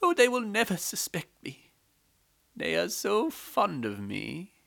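A storyteller voicing a young palace maidservant who stole a necklace, speaking high and anxious. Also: male voice, wildly swooping pitch, slow pace, vocal fry, fairly bright tone, heavily acted. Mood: relieved. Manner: anxious, pleading, high-pitched.

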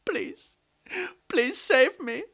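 A storyteller voicing a poor, frightened Brahmin man who sobs and pleads to a goddess in a high, quavering voice. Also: animated, drawn-out words, vocal fry, fairly bright tone, full character voice. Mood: wailing, pleading.

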